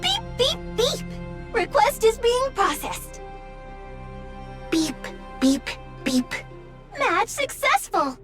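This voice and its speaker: A tiny, eager game robot, chirps in a very high voice that bounces all over. It talks in cheery machine formulas, with music clearly behind it. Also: female voice, huge pitch swings, very slow, pauses often, full character voice. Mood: businesslike, chirpy. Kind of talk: video game cutscene acting.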